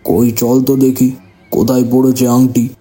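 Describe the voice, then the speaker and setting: Male actor as composed village elder, wise occultist, very mellow dark tone, music underneath. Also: mid-range voice, level tone, moderate pace, natural voice. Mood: commanding. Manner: composed, commanding. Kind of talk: horror audio drama.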